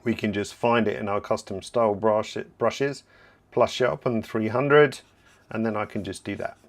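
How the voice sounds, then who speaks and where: A man with a somewhat low voice leads a live software lesson, explaining each step as he clicks through it. He sounds relaxed and a little hoarse, clearing his throat from time to time. His delivery is conversational, with frequent asides as he fields questions. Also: normal speed. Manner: relaxed, explanatory, thinking aloud while working. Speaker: software trainer hosting a livestream. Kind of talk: live software tutorial with viewer Q&A.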